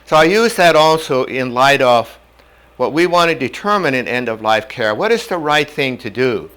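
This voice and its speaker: A man's voice: mid-range voice, expressive, a bit bright. Older man giving a plain-spoken lecture. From North Dakota, USA. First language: German. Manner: measured, plain-spoken teaching with homely examples. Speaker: lecturer on medical ethics. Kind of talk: whiteboard lecture. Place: indoor classroom in front of a whiteboard.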